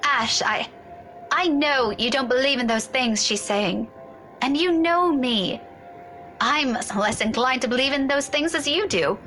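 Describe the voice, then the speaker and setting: A woman's voice: high-pitched, animated, measured pace, sharp tone, a little hiss or hum, exaggerated voice. An actress playing a level-headed young woman in a game, firm and earnest, defending herself.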